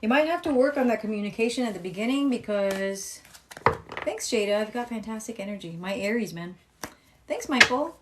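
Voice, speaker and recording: A young adult woman giving a casual live tarot reading, with a calm, soothing voice, chatting, swearing freely and reading viewer comments aloud. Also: fairly high pitch, fairly quick, slight background noise. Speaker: tarot reader live-streaming.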